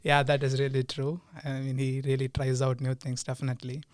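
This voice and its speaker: A young man from India, a podcast guest, answering in casual chat with pauses and frequent laughs. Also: mid-range voice, completely flat delivery, no echo, studio quality. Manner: hesitant, laughs often. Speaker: guest, team lead.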